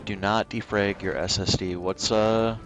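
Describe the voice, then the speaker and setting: A man with a low voice chats casually as he streams a game. He is low-key and a little hesitant, thinking out loud, with music playing behind him. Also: fairly even intonation, a little slow. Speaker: livestreamer. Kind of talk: casual livestream gameplay commentary.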